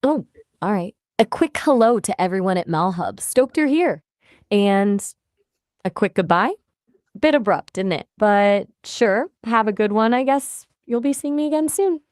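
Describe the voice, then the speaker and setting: A woman's voice: medium pitch, expressive, a little slow, darkish tone, no echo, studio quality. A female AI voice assistant speaking in a breezy, playful way. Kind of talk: synthetic assistant reply.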